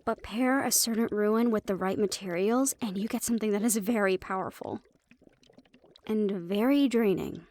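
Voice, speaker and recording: A woman's voice: medium pitch, moderate pace, darkish tone, slight background noise, natural voice. A woman playing a helpful, knowledgeable magic-shop keeper in a fantasy audio roleplay, explaining things with light teasing. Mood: explaining, earnest.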